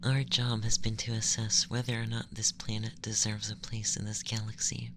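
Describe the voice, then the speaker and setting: An ASMR performer voicing a calm, condescending young male alien abductor in a breathy, often whispered, gentle and formal monologue. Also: mid-range voice, normal speed, noisy background, natural voice. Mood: solemn, explanatory. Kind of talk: ASMR roleplay, scripted monologue.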